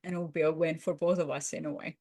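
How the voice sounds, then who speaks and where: A woman's voice: low-pitched, fairly even intonation, quick pace, dark tone. A woman co-hosting a conversational podcast, speaking in an encouraging, affirming way. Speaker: podcast co-host. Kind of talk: roleplay and conversational debrief.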